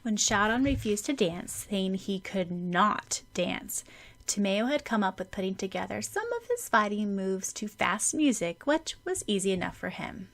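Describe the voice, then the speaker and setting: A woman's voice: mid-range voice, very animated, moderate pace, fairly bright tone. A woman reading scripted story narration as an audiobook narrator.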